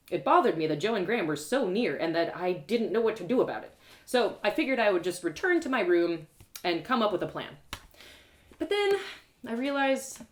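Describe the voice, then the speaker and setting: Highly animated woman reading a story. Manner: expressive, theatrical. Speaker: author and storyteller. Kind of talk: live first-person fiction reading.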